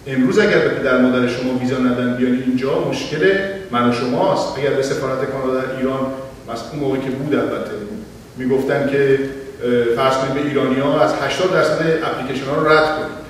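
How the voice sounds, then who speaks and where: A middle-aged man on a panel, answering an audience question in a measured, explanatory way with some hesitations, speaking into a handheld mic in a library auditorium. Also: mid-range voice, fairly even intonation. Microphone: handheld mic, heard from far camera. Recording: echoey auditorium from far off, faint hiss.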